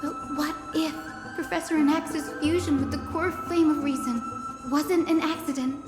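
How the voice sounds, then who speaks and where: Actress as earnest young game heroine working out clues, high voice, lots of reverb, music behind. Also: female voice, fairly even intonation, lightly acted. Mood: urgent deduction. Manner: deliberate, dramatic reasoning aloud. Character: earnest, sharp-minded young woman investigator piecing clues together. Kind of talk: scripted video game voice acting. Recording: echoey hall reverb under loud music.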